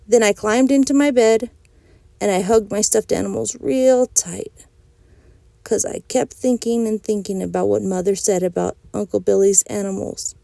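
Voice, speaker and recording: A woman reading a children's chapter book aloud in a clear storytelling manner. Her voice is mellow and dark-toned, recorded close and dry with no room sound. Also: a little high, no room sound. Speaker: audiobook reader.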